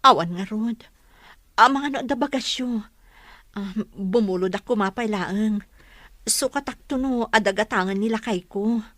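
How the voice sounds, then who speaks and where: Woman acting a bright-voiced, troubled young wife. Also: mid-range voice, unhurried, pauses often, no echo, natural voice. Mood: embarrassed, pleading. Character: weary, anxious poor wife and mother, secretly in love elsewhere. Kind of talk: Ilocano audio drama dialogue.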